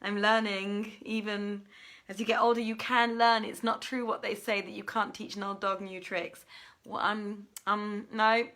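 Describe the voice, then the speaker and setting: A woman's voice: mid-range voice, flat delivery, measured pace, fairly bright tone. A middle-aged woman hosting a live-streamed reading, chatting casually and playfully to viewers with theatrical, giggly asides. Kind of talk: casual livestream chat greeting viewers.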